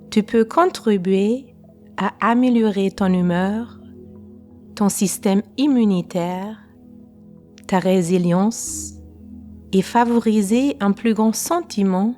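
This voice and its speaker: Slow-paced woman guiding meditation, mellow voice. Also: mid-range voice. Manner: calm, unhurried, pausing between short phrases. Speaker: guided meditation teacher. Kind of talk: slow guided relaxation meditation. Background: faint music.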